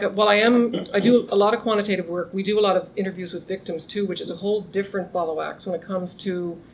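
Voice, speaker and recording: A woman's voice: lowish voice, slight background noise, low-quality recording. A middle-aged woman professor giving an informal academic talk to a small group, speaking conversationally with restarts and raising her voice to be heard. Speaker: criminology professor. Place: lunch seminar room.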